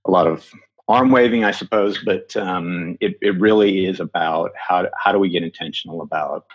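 A middle-aged American man from Florida, a strategy consultant answering interview questions in a measured, thoughtful way with frequent ums and self-corrections. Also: low-pitched, breathy edge, dull audio. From Florida, USA. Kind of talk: podcast interview.

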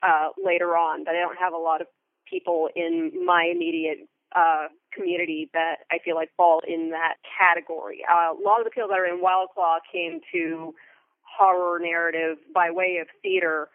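Heard over a narrow, telephone-like connection with no room sound, an American woman in her thirties gives interview answers. She is conversational and thoughtful, choosing articulate phrases and leaning deliberately on certain words. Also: on the low side, level tone, no echo. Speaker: interview guest, theater company member and Foley artist. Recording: thin, phone-like remote line.

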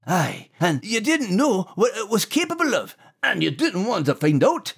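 A man voicing a folksy Scottish storybook character with a husky voice and an earnest manner. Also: high voice, wildly swooping pitch, moderate pace, exaggerated voice. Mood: earnest, uneasy.

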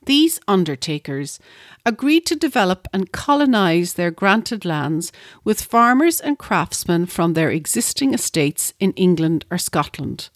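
A woman with a lowish voice reads a prepared history segment in a steady, measured way. The sound is dry and close, with no room echo. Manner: measured, reading from a script. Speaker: podcast co-host. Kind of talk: scripted narration.

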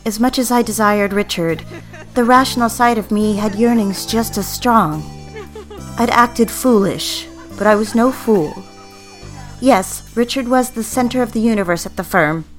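A woman plays a young secretary and bride-to-be telling her own story in an audio drama. Her mid-pitched voice is confiding and measured, wistful and yearning as she justifies herself, with soft music behind. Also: lightly acted. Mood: resolute, self-justifying. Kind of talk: first-person dramatic narration.

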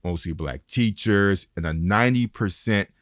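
A man from Detroit who hosts the podcast, giving a measured, steady scripted narration. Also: lowish voice, no room sound, studio quality. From Detroit, United States.

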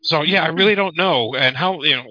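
A man chatting casually as a podcast co-host, halting and wry, with some mild swearing. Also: on the high side, dry recording. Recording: dull lo-fi podcast audio.

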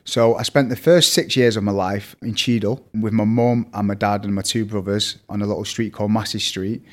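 A young man with a strong Mancunian accent, telling stories as a podcast guest in fast, scattered casual chat. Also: fairly low pitch, a little mellow. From Manchester, England. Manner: fast, scattered storytelling with fillers.

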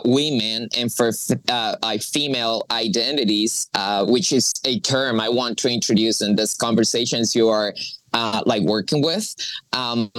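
A woman, a coffee professional talking as an interview guest, halting and searching for her words with frequent restarts. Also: extremely low pitch, no echo, low-quality recording. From Colombia. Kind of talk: interview conversation.

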